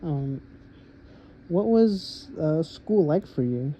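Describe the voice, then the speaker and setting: A young man, a student, conducting an interview for a class, halting and full of uhs and quick laughs. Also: on the high side, expressive, warm tone. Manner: halting, filler-heavy, nervous laughs. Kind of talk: casual recorded interview. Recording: muffled with light background noise.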